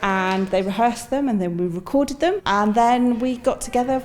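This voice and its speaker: A woman's voice: a little high, moderate pace, a little mellow, a little hiss or hum. A woman who works on an arts project, talking in a documentary interview.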